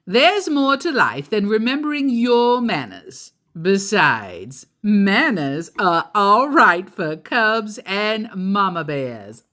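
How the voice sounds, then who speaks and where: A woman's voice: medium pitch, bright, forceful tone, voice pushed up, full character voice. A woman voicing a grumbling, self-important father bear from a storybook, indignant and emphatic. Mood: pompous, lecturing. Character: grumbling, indignant father bear, pompous and set in his ways.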